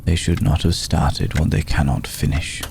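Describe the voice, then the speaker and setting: A man playing an ancient, aristocratic vampire in an ASMR roleplay, formal, hushed and gravelly, protective and vengeful, with deliberate pauses. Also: rumbling low, level tone, a little hiss or hum. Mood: cold, vengeful. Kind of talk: ASMR roleplay, slow intimate monologue.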